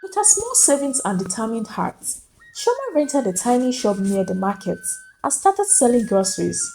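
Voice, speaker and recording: A woman's voice: on the high side, very animated, normal speed, creaky, dark tone, low-quality recording. A woman storyteller reading a dramatic scripted folktale as narrator. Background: faint music.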